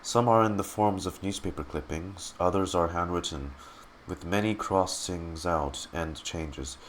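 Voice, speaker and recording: A man who streams games, reading in-game text aloud dramatically and haltingly and muttering his reactions. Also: low-pitched, slight background noise.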